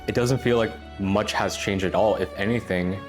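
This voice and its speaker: A man's voice: fairly low pitch, fairly even intonation, moderate pace, over music. Earnest young man from Canada. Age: young adult. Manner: reflective, earnest. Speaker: workshop participant. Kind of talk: testimonial interview.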